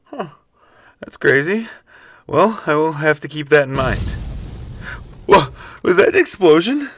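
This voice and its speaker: A man's voice: on the high side, sing-song, measured pace, fairly bright tone, a little hiss or hum, full character voice. A man acting a nervous, naive rookie henchman in a comedy radio play, questioning and stammering, slightly off-mic. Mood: curious.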